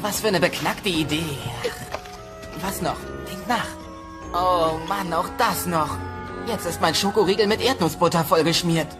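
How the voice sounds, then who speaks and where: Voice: medium pitch, measured pace, music in the background, lightly acted. An actor voicing an eager, sarcastic teenage dinosaur son with a youthful voice in a cartoon dub. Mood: pondering. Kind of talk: cartoon dubbing.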